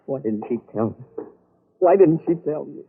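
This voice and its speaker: An actor playing an anguished, bitter, grieving husband, halting and emotional in 1940s radio drama style. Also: male voice, mid-range voice, expressive, vocal fry, phone-quality sound, slight background noise. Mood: despairing. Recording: old band-limited radio broadcast.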